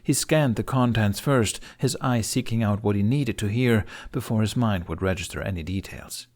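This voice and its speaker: A male audiobook narrator with a fairly low voice. He reads scripted fiction expressively, in a storyteller's style. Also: normal speed, a little mellow.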